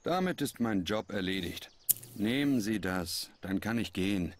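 A man's voice: fairly low pitch, animated, unhurried, slight background noise. An actor playing an indifferent male helper delivering a package, in a dubbed adventure game. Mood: offhand. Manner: offhand, indifferent.

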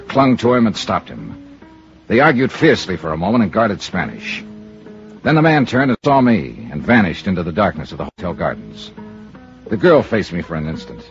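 An American actor playing a sardonic investigator in a 1950s radio drama, with wry, clipped noir delivery. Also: male voice, low voice. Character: sardonic, cool insurance investigator in his prime. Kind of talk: 1950s radio detective acting and narration. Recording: dull vintage radio recording, music behind.

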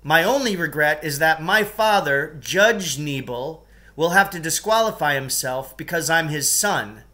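A man's voice: a little high, lively intonation, unhurried, sharp tone, pitched above the performer's own voice. A man voicing a defiant, cocky schoolboy in a storybook, speaking bluntly and with emphasis. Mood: defiant, threatening. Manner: blunt, emphatic, mock-formal threats.

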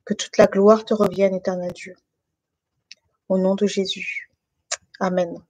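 A woman in her thirties from France, speaking informally and haltingly as she shares a personal testimony with a group. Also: mid-range voice, little pitch movement, dark tone, a little hiss or hum. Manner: hesitant, halting delivery with many pauses and repetitions. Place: living room at home.

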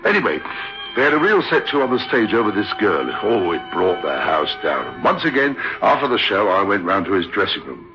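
Very animated, uneasy radio narrator. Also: male voice, on the low side, brisk. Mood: uneasy, reflective. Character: troubled male witness recounting an eerie story. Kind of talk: dramatic first-person radio narration. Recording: dull lo-fi old radio recording. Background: music.

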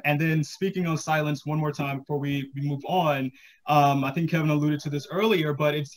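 A man in his thirties explaining things casually as a panelist on a video call, in a friendly advising way. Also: on the high side. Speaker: panelist, audio drama podcaster. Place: room at home, video call. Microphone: headset on a video call.

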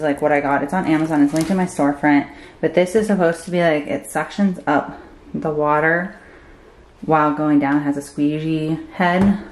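A woman's voice: low voice, darkish tone, roomy sound. A woman narrating her cleaning vlog in a casual, chatty way, often saying "like". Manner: chatty, lots of "like" fillers. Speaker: cleaning vlogger.

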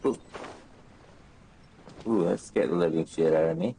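Deep, mellow male game streamer. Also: takes it slowly, slight background noise, rough recording. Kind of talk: casual gameplay reaction. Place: indoor room, on webcam while gaming.

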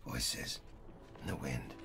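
An actor playing a watchful, uneasy space marine soldier in a sci-fi film, speaking in terse, wary phrases. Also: male voice, slow, lightly acted. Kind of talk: animated sci-fi film acting. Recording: loud noisy ambience around the voice.

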